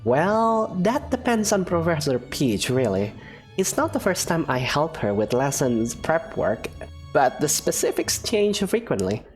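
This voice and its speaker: A woman's voice: sits low, sing-song, measured pace, a little mellow, faint music behind. A woman voicing a prim, studious young female student who speaks formally and precisely.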